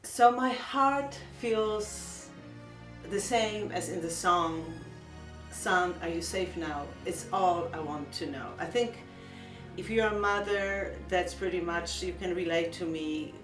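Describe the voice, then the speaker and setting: Gentle Polish-accented woman, slow and earnest. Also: fairly low pitch, echoey room, recorded from a distance, over music. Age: middle-aged. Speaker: vlogger. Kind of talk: heartfelt personal vlog.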